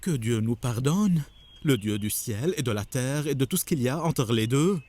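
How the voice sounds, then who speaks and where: An actor playing a devout, courteous believer in a French cartoon, polite and earnest. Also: male voice, mid-range voice, lively intonation, moderate pace, darkish tone, close to an everyday voice. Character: devout, courteous follower of the prophet.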